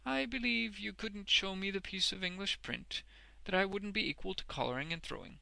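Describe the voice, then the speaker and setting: A man's voice: high-pitched, moderate pace, creaky, sharp tone, no echo, pitched above the performer's own voice, heavily acted, rough recording. A man voicing a smug, obsequious street ballad seller in a storybook, deferential yet self-important. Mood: falsely modest.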